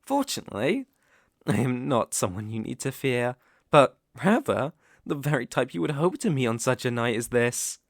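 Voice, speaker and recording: A man with a British accent playing a sinister, smooth-talking werewolf in an ASMR roleplay, theatrical and teasing, sometimes dropping to a whisper. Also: mid-range voice, very animated, normal speed, no echo, studio quality. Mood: sly reassurance. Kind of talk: ASMR roleplay audio acting.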